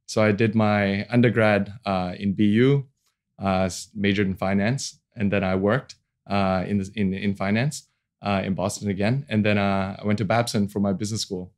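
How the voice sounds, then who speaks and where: Relaxed low-voiced man from Hong Kong. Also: normal speed. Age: 40s. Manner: relaxed, many fillers and restarts, laughs easily. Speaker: venture capital founder, guest. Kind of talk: casual interview talk.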